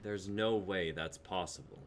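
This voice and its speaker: A man's voice: sits low, a little slow, a little hiss or hum. A man playing a stern, authoritative commander in a dramatic reading of a sci-fi script. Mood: stern, dismissive. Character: gruff male commander of a sci-fi military coalition.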